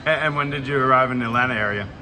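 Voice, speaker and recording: Fast-talking male sports interviewer. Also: mid-range voice, background noise. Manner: brisk, direct questioning.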